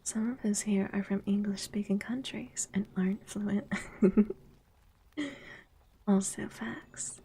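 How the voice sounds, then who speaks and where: Slow husky whispering woman. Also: medium pitch, level tone. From United States. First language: Spanish. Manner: soft whispering, reading chat aloud, giggly. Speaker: VTuber streamer. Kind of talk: whispered ASMR stream chat.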